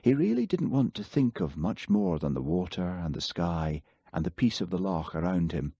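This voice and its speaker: A man narrating an audiobook with calm, steady pacing. His voice is very low and slightly husky, and the recording is dry and close with no room sound. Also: normal speed, no room sound. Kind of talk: scripted narration.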